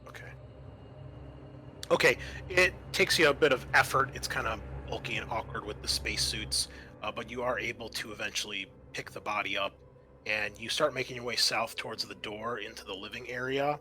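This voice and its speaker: A man acting as game master, describing scenes in a conversational, improvised, halting way for a tabletop roleplaying game. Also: fairly low pitch, sharp tone, a little hiss or hum.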